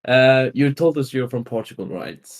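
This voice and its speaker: A man's voice: mid-range voice, lively intonation, normal speed. A man hosting a student podcast, asking questions in an informal, stumbling way and correcting himself. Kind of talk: casual interview.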